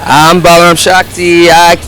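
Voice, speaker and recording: A man's voice: fairly high pitch, monotone, a little slow, sharp tone, faint music behind. A man from Texas answering casually and matter-of-factly in an outdoor street interview. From Austin, Texas. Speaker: festival attendee. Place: outdoor parking lot.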